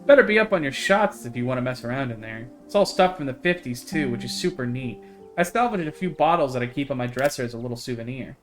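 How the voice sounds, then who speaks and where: A man's voice: mid-range voice, lively intonation, fast talker, soft background music, lightly acted. A man voicing a chatty, carefree young woman in a visual novel, in a breezy, rambling storytelling manner. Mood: chatty, enthusiastic. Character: chatty, carefree young woman who hikes hunting cryptids.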